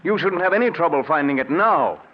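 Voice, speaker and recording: A man's voice: fairly high pitch, animated, a little fast, natural voice. An actor playing a suspicious, domineering man with a guilty conscience in an old-time radio mystery, pressing and dramatic. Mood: impatient, commanding. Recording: dull, lo-fi old radio recording.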